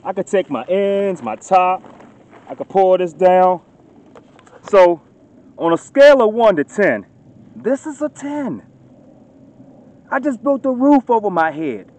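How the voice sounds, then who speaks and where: A man in his thirties talking casually to a handheld phone camera outdoors in the woods, getting loud and excited as he shows off his work. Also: sits high, unhurried, pauses often. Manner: chatty, gets loud and excited showing off. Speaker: outdoors vlogger. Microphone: phone camera held at arm's length. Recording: dull lo-fi phone audio outdoors.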